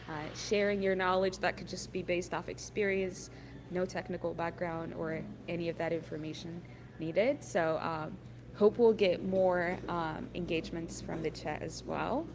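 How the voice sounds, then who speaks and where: Woman from ethiopia giving a workshop on a video call, lowish voice, lots of ums, noisy audio. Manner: frequent fillers, friendly and encouraging. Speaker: workshop presenter. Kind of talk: online workshop presentation.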